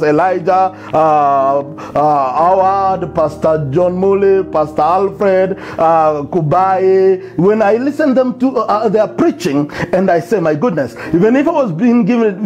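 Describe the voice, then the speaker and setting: Male pastor, 40s, fairly high voice that swings widely in pitch, music audible behind him. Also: darkish tone. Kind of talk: sermon. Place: indoor studio set before a plain wall. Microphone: lapel mic.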